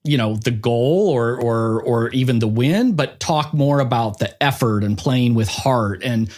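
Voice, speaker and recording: A man's voice: medium pitch, a little slow, darkish tone. A man hosting a podcast in an informal, chatty, conversational way, as in an interview. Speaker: podcast host.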